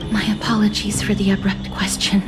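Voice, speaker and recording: An actress playing a gentle, solemn young woman cursed by death in a video game, speaking softly and formally with measured courtesy. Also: medium pitch, flat delivery, over music, lightly acted. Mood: hesitant, earnest. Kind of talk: scripted video game dialogue.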